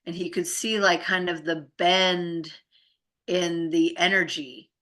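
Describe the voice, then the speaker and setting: A woman's voice: fairly low pitch, fairly even intonation, takes it slowly, a bit bright. A woman explaining things in casual conversation, in an earnest, informal, rambling way with frequent check-in phrases.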